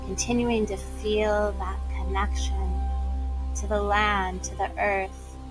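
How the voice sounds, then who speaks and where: A woman guides a meditation at a very slow, unhurried pace, her voice soft and inviting. Her pitch sits in an ordinary middle range, and faint music plays quietly underneath. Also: flat delivery. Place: Bali, Indonesia.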